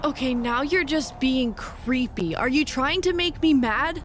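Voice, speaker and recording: A woman's voice: high-pitched, measured pace, a little hiss or hum. A woman playing a feisty, suspicious young female wrestler in a video game cutscene, blunt and indignant. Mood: annoyed.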